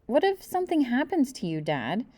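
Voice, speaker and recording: Woman voicing an anxious little boy, very animated. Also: a little high, no room sound, pitched above the performer's own voice. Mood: worried. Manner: fretful, rapid what-if questioning. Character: anxious, worrying young boy with big fears. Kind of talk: children's storybook read-aloud.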